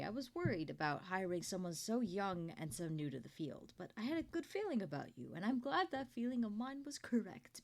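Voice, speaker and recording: A woman playing a kindly, proud older company founder in an ASMR roleplay, chatty and doting, with a creaky voice. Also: medium pitch. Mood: warm, praising. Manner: gentle, chatty, doting, with throat-clearing and rambling asides. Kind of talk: ASMR roleplay monologue to the listener.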